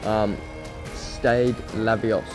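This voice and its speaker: A man's voice: lowish voice, little pitch movement, drawn-out words, music in the background. A man who is not a native English speaker, hosting a football management game video with play-by-play commentary. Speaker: YouTube gaming host.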